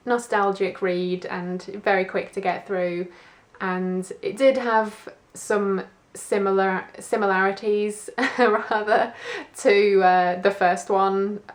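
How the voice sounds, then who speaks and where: A woman chatting informally and a little ramblingly to camera in a book vlog, with an understated manner. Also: medium pitch. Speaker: book vlogger. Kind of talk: casual chat to camera, reading diary and book review. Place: indoors at home.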